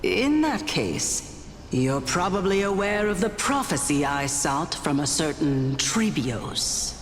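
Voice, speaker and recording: An actress playing an ancient female general in a video game, stately and stern yet gentle, with formal, measured phrasing. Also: sits low, sing-song, exaggerated voice. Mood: grave, commanding. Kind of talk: scripted video game voice acting. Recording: long hall reverb, music behind.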